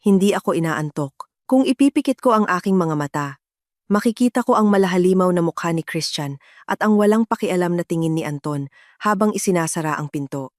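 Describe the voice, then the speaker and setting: A woman's voice: fairly low pitch, lively intonation, brisk, dry recording, clean studio sound. A woman reading a scripted, dramatic first-person story as its narrator.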